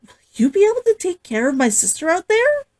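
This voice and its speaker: A woman voicing a disdainful, worried woman who asks sharp, pointed questions in a story. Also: very high-pitched, sing-song, a little fast, pitched above the performer's own voice. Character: disdainful, suspicious, worried woman, the hunter's best friend.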